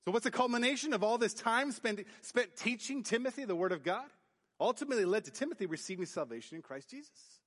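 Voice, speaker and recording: A youth pastor preaching a sermon in church, booming then dropping to quiet emphasis. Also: male voice. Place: church sanctuary. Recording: long hall reverb. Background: hall reverb.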